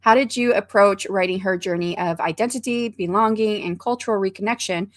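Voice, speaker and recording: A woman hosting a casual interview over a video call, chatty and quick to laugh off her own stumbles. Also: mid-range voice, no room sound, studio quality. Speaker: host.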